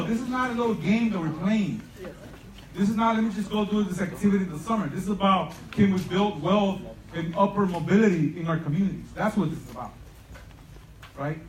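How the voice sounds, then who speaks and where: High-voiced, impassioned man speaking in a roomy space. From El Salvador. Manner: impassioned, emphatic, seeks agreement with "right?". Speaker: program founder and speaker. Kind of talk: motivational public speech to parents and students. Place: large room at a live event. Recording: roomy, distant mic, faint noise.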